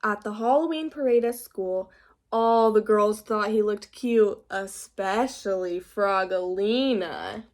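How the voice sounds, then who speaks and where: A woman's voice: mid-range voice, takes it slowly, darkish tone. A woman reading a storybook aloud to young children in a teacherly way, prompting them with questions.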